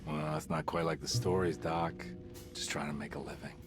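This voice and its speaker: An actor playing a weary, stubborn private detective in a noir video game, talking in a dry, casual way. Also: male voice, very deep voice, fairly even intonation, normal speed, music in the background.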